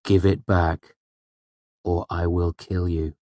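A man's voice: low voice, level tone, slow pace, mellow, dry recording. A man playing a wronged young male musician in a storybook, intense and emotional, torn between pleading and fury. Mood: cold fury.

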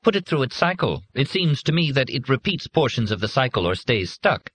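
A man voicing a precise female supervising computer that speaks in a crisp, businesslike way. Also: medium pitch, very animated, brisk, a bit bright, pitched above the performer's own voice.

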